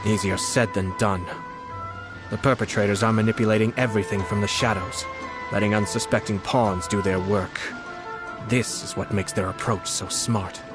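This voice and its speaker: Man as a composed, low-voiced young nobleman. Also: steady tone, over music, natural voice. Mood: calm, resolute. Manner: measured, polite, formal. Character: composed, courtly young nobleman, shrewd and unflappable commissioner. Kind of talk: anime-style game voice acting.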